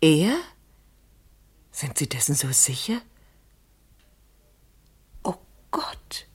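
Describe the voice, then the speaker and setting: An actress in a 1970s German radio play, playing a fatalistic, secretive wife in a deliberate, melodramatic way with a husky, breathy voice. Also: female voice, low-pitched, very animated, a little mellow, heavily acted. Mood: hushed, secretive.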